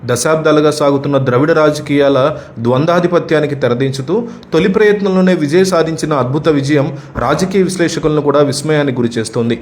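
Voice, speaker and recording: Fast-talking, higher-pitched male news narrator. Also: a little mellow. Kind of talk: scripted news voice-over commentary.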